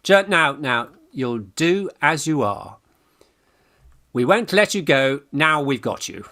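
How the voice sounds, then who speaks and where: An actor playing a breezy, bossy young English student gentleman in a Victorian radio farce, exclamatory and eager. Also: fairly high pitch, expressive, slow pace, full character voice. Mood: bossy, excited. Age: young adult. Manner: commanding, exclamatory, slightly stumbling. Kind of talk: radio play farce acting.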